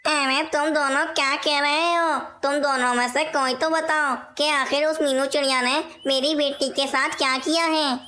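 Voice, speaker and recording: A woman's voice: high voice, steady tone, normal speed, hardly any pauses, bright, forceful tone. A woman voicing an anxious, indignant father parrot who rules as king in a cartoon story. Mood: worried. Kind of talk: cartoon moral-story dialogue.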